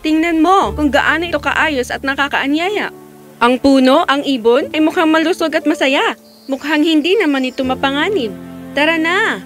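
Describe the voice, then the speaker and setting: A gracious, commanding queen, with a high voice. She speaks in a regal, deliberate way in fairy tale cartoon style, music playing softly behind. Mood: curious.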